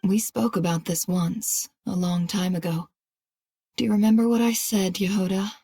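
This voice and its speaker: A woman playing a composed, proud leader of a secret organization, speaking in a commanding, measured, wry way in a game cutscene. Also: mid-range voice, fairly even intonation, mellow, slightly rough, no echo, soft background music. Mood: solemn, firm. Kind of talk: dramatic game cutscene acting.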